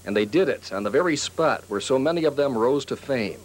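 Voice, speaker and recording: A man's voice: mid-range voice, animated, fairly quick, poor audio. A middle-aged man delivering scripted broadcast news narration as a television reporter. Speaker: TV news media critic and reporter.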